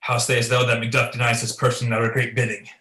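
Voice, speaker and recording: A man's voice: mid-range voice, completely flat delivery, fast talker, sharp tone, natural voice. A young man playing an ambitious, guilt-ridden king in a Shakespearean radio play, declaiming in a commanding, theatrical way. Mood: brooding, resolute. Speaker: student performer. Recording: echoey room.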